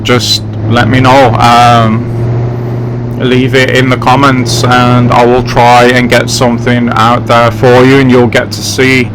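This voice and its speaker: An English man hosts a gaming video in a casual, rambling way. His delivery is unhurried and his pitch stays fairly flat at a middling height. Vehicle hum and engine noise run clearly behind his voice. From England. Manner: chatty, rambling, addressing viewers directly. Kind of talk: casual gameplay commentary. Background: driving game vehicle sounds.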